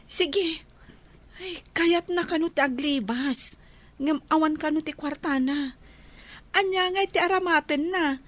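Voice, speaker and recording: A woman playing an excitable, gossipy friend in an amateur audio drama, with an Ilocano accent. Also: high voice, measured pace. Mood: concerned.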